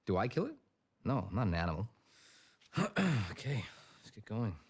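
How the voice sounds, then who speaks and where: An arrogant champion racing driver in a documentary-style drama. The voice is low, offhand and thoroughly self-assured. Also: male voice, big pitch swings, normal speed, slightly rough, heavily acted. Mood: offhand, smug.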